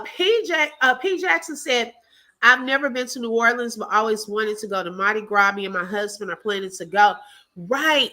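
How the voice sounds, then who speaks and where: Bright, laughing woman with a Texas accent. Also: fairly high pitch. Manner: chatty and laughing, calls viewers baby and girl. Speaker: live stream host. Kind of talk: casual live chat, reading viewer comments.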